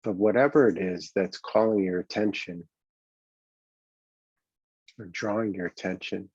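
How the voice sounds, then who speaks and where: Low-pitched man, meditation coach, gentle halting delivery, long pauses, husky, dull roomy recording. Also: steady tone. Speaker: meditation coach leading a guided exercise. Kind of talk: guided meditation, improvised and conversational. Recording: dull, boxy, roomy lo-fi sound.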